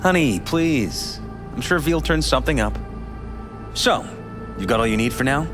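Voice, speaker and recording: An actor playing a polished but troubled middle-aged politician in a video game, speaking in a measured, courteous, earnest way. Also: male voice, medium pitch, lively intonation, close to an everyday voice. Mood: courteous, brisk. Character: polished, troubled male politician confiding in an investigator. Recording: music behind.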